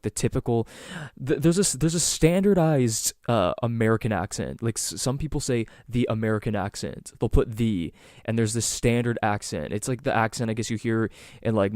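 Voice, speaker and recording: A man's voice: lowish voice, a little mellow, dry recording. Rambling Canadian man, dry close sound. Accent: Canadian, Greater Toronto Area. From Southern Ontario, Canada. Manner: rambling, many ums and restarts. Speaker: podcast host. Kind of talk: casual solo podcast monologue.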